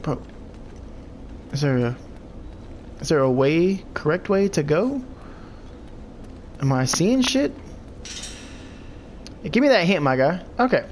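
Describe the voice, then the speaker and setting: A young man, stuffed up and congested, rattles off casual commentary as he plays. His voice sits a bit high and swings widely in pitch, full of profane trash talk at enemies. A faint hiss lies under the recording. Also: expressive, slow pace, long pauses. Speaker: let's play host.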